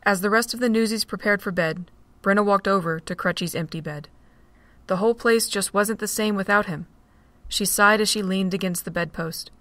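A woman narrating an amateur audio drama in a steady, plain reading. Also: medium pitch, no room sound. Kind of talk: storybook narration.

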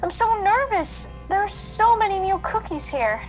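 A little cookie schoolgirl played by a woman, nervous and chatty, in a children's radio play. Her voice is high and bounces around, with music behind it. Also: expressive, normal speed, low-quality recording.

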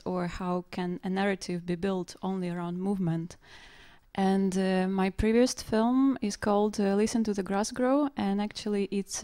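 A young adult woman from Russia talks about her filmmaking, pausing often with fillers as she searches for words. Her voice is mellow and warm. The sound is dry and close, from a stand mic on a small studio stage. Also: medium pitch, smooth, no room sound. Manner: hesitant, many fillers. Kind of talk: performance reading then casual conversation.

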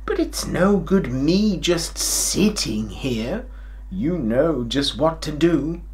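A middle-aged man playing a kindly, jolly, forgetful old grandad king, telling a children's story in an expressive, theatrical way. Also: a little high, takes it slowly, slight background noise. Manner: theatrical, expressive, with hammed-up hesitations and chuckles.

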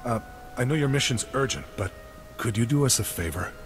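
An actor voicing a businesslike resistance fighter in a video game, giving matter-of-fact, clipped military briefings. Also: male voice, mid-range voice, lively intonation, a little fast, natural voice. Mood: earnest, businesslike. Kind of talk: scripted video game dialogue. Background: game music.

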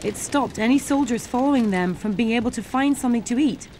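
Bright young woman presenting schools TV. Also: a little high, brisk, slight background noise, rough recording. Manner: clear, simple explanations for children.

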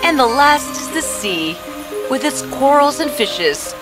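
Expressive, high-voiced young princess. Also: female voice, measured pace. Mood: gentle, instructing. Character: young fairy-tale princess, gentle and confident. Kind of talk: children's fairy-tale cartoon acting. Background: prominent background music.

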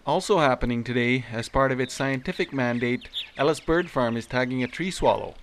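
A man narrating a community news report in a steady announcing manner. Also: medium pitch, fairly quick, slight background noise.

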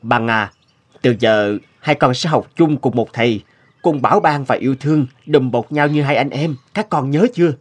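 An actor playing a kindly, wealthy merchant in an animated folktale, speaking in a calm, fatherly manner. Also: medium pitch, moderate pace, lightly acted. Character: kindly, wealthy merchant adopting a lost boy.

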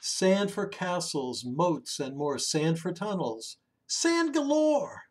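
A man reads a children's picture book aloud expressively, drawing out words and hushing the ends of phrases. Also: high voice, sing-song, slight background noise. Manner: dramatic, with drawn-out words and hushed phrase endings. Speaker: children's story reader. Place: small room indoors at home.

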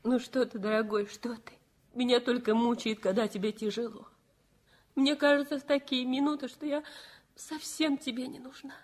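A devoted young nurse who soothes and pleads with her sick husband, as a woman acts her out. Her voice is fairly high, and she is heard on a vintage 1960s radio play recording. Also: steady tone, normal speed, lightly acted. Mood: soothing. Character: young nurse and loving wife, gentle and devoted.

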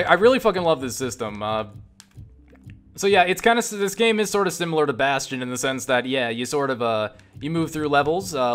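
Man, mid-pitched voice, casual gameplay commentary, enthusiastic, sweary, rambling and halting. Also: a bit bright. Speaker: video game reviewer and player.

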